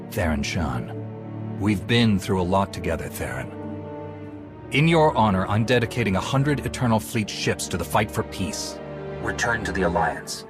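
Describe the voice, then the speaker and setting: An actor playing an earnest, resolute Jedi commander in a cinematic video game, speaking with authority. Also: male voice, sits low.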